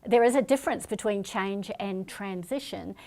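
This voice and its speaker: A middle-aged woman, a speaker and trainer, answering interview questions in a calm, explanatory way. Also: medium pitch, normal speed. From New Zealand family, born in Singapore. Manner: calm, explanatory, self-promoting.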